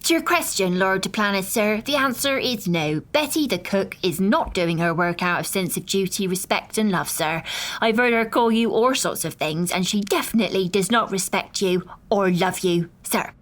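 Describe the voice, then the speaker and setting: A man's voice: sits high, normal speed, fairly bright tone, pitched above the performer's own voice. A man voicing a jittery, blurting young maid. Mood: stiff, blurting. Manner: blurts words out stiffly, tacking "sir" onto everything. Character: young nervous blurting housemaid girl.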